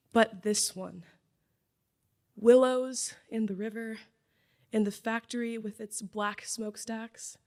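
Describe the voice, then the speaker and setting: A teenage girl reading a poem aloud at a graduation service, slowly and deliberately. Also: fairly high pitch. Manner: slow, deliberate poetry reading. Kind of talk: poetry reading.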